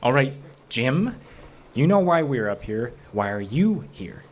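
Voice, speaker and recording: A man's voice: mid-range voice, big pitch swings, measured pace. An actor playing a level-headed park service officer in an audio drama, dry and questioning as he keeps the peace. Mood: calm, questioning.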